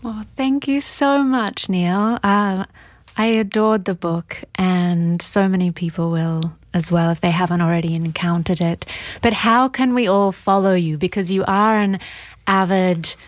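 A woman's voice: medium pitch, unhurried, no echo. A woman hosting a podcast, chatting casually as she interviews an author.

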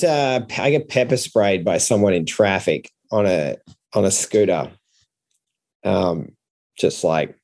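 A man's voice: lowish voice, darkish tone. An Australian man with an Australian accent, a podcast guest and comedian telling anecdotes in a casual, conversational style.

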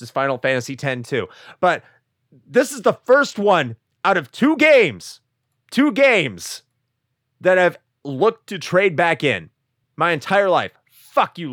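Loud profane ranting man. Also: sits high, no echo, clean studio sound. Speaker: podcast co-host. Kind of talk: ranting casual podcast chat.

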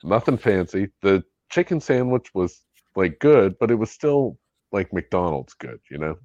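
A man's voice: deep voice, very animated, a little slow, darkish tone, dry recording, lo-fi sound. A laid-back man chatting on a podcast, blunt and casually swearing. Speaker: podcast panelist. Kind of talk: casual chat.

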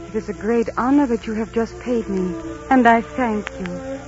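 A woman's voice: a little high, normal speed, music in the background, close to an everyday voice. A woman playing a demure young Aztec princess. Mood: gracious, earnest. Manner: formal, earnest, demure. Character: gentle, formal young Aztec princess, daughter of Montezuma. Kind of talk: 1940s radio romance acting. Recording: dull, hissy old radio recording.

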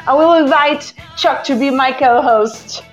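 A woman from Portugal with a Portuguese accent, a native Portuguese speaker, hosting a casual live call-in show in a halting way with repeated words. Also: high-pitched. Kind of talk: casual live chat with listeners. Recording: dull lo-fi app audio with music. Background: music.

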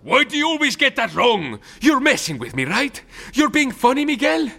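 Exasperated rebel leader for radio play, forceful bright tone, hugely varied intonation. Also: male voice, very high voice, very animated, normal speed, creaky, exaggerated voice. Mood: indignant. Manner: emphatic, exasperated, melodramatic. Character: indignant, proud, pleading male revolutionary leader. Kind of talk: audio drama acting.

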